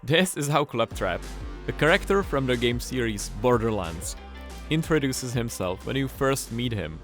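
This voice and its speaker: A man presenting a scripted tutorial, explaining things clearly and with enthusiasm. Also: medium pitch, expressive, moderate pace, a bit bright. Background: music.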